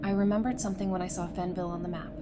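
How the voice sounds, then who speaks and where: An actress playing a frank, determined and playful psychiatrist surviving the apocalypse, talking informally in an audio drama. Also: female voice, lowish voice, fairly even intonation, very fast, natural voice. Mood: earnest. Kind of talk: fan audio drama acting. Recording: clearly noisy, with ambience behind.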